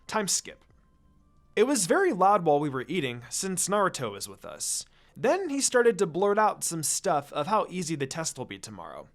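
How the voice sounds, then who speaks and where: A man's voice: fairly high pitch, huge pitch swings, normal speed, fairly bright tone. A man narrating a scripted story expressively, reading fan fiction aloud. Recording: music under the voice. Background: music.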